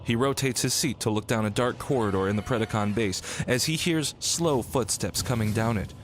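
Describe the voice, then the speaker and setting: A man's voice: mid-range voice, normal speed, background noise. A man reading scripted narration for a radio drama. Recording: loud music under the voice. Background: loud music.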